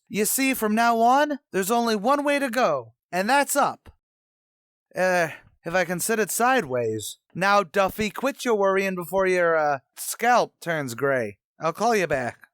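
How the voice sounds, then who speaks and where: A man's voice: sits high, expressive, measured pace, a bit bright, no room sound, clean studio sound. A man playing a slangy, blustery, scheming New tavern manager in an old-time radio comedy, mangling his grammar as he wisecracks. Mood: breezy, defensive.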